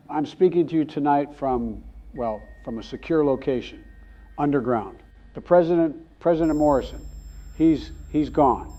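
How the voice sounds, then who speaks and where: An actor playing a shaken, weary government leader giving an emergency address, solemn, halting and stammering. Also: male voice, a little high, warm tone, close to an everyday voice. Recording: dull, lo-fi emergency broadcast sound.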